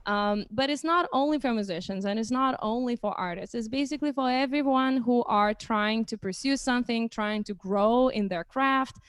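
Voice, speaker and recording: A woman's voice: a little high, normal speed, few pauses, clean tone, no room sound. A young woman hosting a casual livestream chat, speaking hesitantly and often restarting phrases. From Lithuania. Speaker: host.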